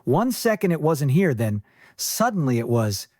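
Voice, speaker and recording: A man's voice: a little high, sing-song, moderate pace, darkish tone, dry recording, clean studio sound. A man voicing wary, teasing young twin brothers in casual audiobook dialogue.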